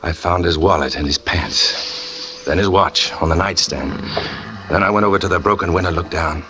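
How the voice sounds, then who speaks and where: A man's voice: deep voice, moderate pace, background noise, close to an everyday voice. An actor playing a jealous, tormented young circus catcher who narrates his own story in a 1940s radio drama. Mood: brooding. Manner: brooding first-person confession, rising to anguish. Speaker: narrator and lead character.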